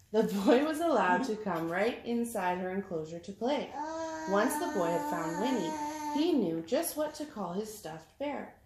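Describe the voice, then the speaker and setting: A woman reading a children's story aloud as a tutor, with expressive, deliberate phrasing. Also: medium pitch. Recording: echoey room, clear background noise, clicks.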